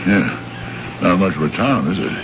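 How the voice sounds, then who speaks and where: Brusque, low-voiced space negotiator. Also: male voice, animated, unhurried. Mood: sardonic. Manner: blunt, hesitant interjections, sardonic. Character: brusque, impatient, dismissive male space trade negotiator. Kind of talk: vintage radio drama acting. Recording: noisy, dull old radio broadcast recording.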